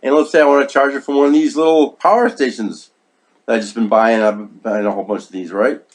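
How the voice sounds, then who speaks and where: A man with a notably high voice walks through a product demonstration in a casual, instructional way. He repeats himself for emphasis and keeps checking that the listener follows with little tag questions. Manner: repetitive, emphatic, checks in with "okay?" and "right?". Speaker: product reviewer.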